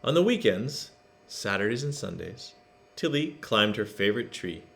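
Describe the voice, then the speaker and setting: A man reading a storybook aloud to children, expressive, with chatty asides and questions to the listeners. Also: on the low side, moderate pace, vocal fry. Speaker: storybook reader.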